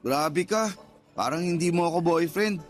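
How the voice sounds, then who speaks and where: An actor playing a defensive, teasing, flippant young boyfriend with a Filipino accent in a radio drama, whining out excuses. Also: male voice, fairly high pitch, moderate pace, natural voice. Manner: whiny, flippant excuse-making. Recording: background music under the voice.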